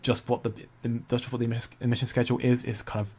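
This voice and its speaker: Guy on a stream mic, mid-pitch voice, rambles and restarts, laughs at chat. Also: flat delivery, rapid-fire, darkish tone, a little hiss or hum. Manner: chatty, self-correcting, laughs easily at chat. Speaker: crypto livestream host. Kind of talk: casual livestream chat, answering viewer comments.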